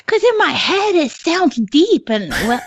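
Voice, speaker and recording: A hoarse woman co-hosting a podcast in casual chat, laughing often and swearing freely. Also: sits high, very animated, a little slow, no echo, studio quality. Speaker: podcast co-host.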